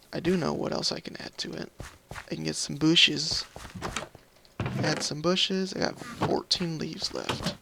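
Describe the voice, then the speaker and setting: Tired, very creaky-voiced man rambling casually. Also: fairly high pitch. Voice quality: slightly scratchy. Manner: rambling, thinking aloud, apologetic. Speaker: host of a gaming video series. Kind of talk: casual Minecraft let's play commentary.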